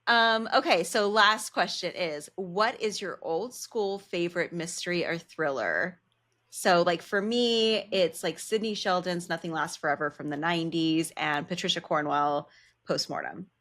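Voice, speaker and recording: A woman's voice: fairly low pitch, measured pace, a bit bright. A woman hosting a casual interview, talking in an informal, encouraging and enthusiastic way. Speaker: podcast host.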